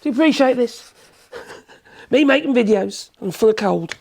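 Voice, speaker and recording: A man with a cold, hoarse, chatting in a rambling way as he demonstrates in his workshop. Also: very high voice, lively intonation, measured pace, a little mellow. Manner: rambling, chatty, self-correcting, chuckles. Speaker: woodworking channel host.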